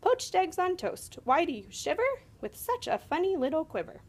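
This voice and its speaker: A woman's voice: very high-pitched, sing-song, normal speed, a little mellow, voice pushed up, heavily acted. A woman voicing a stubborn, curious young girl in a storybook, singsong and matter-of-fact. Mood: singsong, playful.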